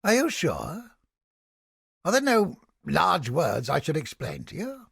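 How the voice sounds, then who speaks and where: A cynical old heretic scholar for a video game, his voice very husky and full of gravel. He is pompous, wordy and easily irritated, and his pitch swings dramatically across a very wide range. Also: wildly swooping pitch, slow, fairly bright tone, no echo, studio quality, heavily acted. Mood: dry, sardonic. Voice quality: raspy, gravelly. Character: cynical, haughty old heretic scholar with mind-control powers.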